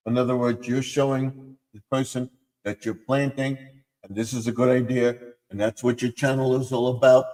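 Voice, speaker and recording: A man's voice: medium pitch, little pitch movement, normal speed, darkish tone, in a large hall. A man hosting a casual live stream, his voice scratchy from a sore throat and his talk rambling and self-interrupting. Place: home room.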